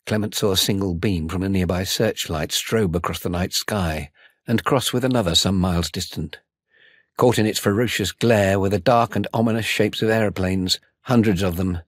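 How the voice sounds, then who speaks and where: Husky, low-voiced male audiobook narrator. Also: no echo, clean studio sound. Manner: measured, suspenseful delivery. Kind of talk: scripted dramatic narration.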